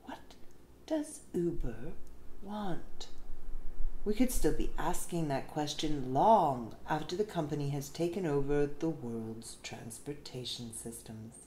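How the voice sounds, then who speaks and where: A woman reading an essay aloud in a measured, expressive way, with deliberate pauses. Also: low-pitched. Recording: roomy echo with faint background noise.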